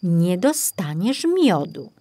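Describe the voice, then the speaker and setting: A woman voicing a mild, calm male forest ranger in a children's story, speaking in a measured, composed way. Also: lowish voice, no echo, full character voice. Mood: calm, firm.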